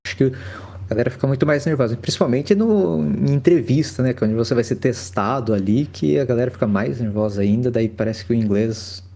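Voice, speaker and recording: A Brazilian man hosting a casual podcast, his voice gravelly with lots of fry and a dark, mellow tone. He asks loose, easygoing questions that circle around before landing. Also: medium pitch, a little fast. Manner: relaxed, hesitant questions with restarts. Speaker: podcast host.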